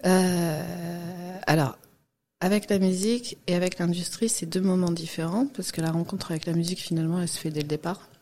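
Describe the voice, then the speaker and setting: Low, mellow-voiced woman guest. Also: steady tone, a little fast. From Guadeloupe. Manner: hesitant, many fillers and restarts. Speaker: guest, music industry professional. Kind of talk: casual interview chat.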